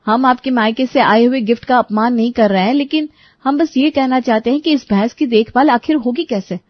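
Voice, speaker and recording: A woman's voice: a little high, fairly quick, no room sound, close to an everyday voice. A woman voicing a practical, firm young daughter-in-law in a cartoon story, slightly lo-fi. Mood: pleading.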